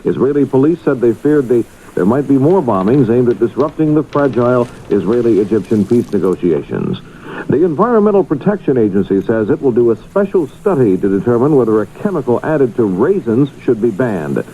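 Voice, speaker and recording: A man's voice: mid-range voice, big pitch swings, brisk, narrow, tinny audio, slight background noise. A man reading scripted news as a radio anchor on a 1970s broadcast.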